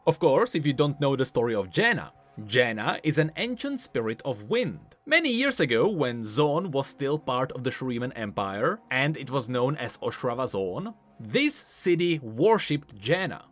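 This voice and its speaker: A man's voice: mid-range voice, big pitch swings, moderate pace, fairly bright tone, faint music behind. A man giving scripted, explanatory commentary on video game lore in an emphatic way.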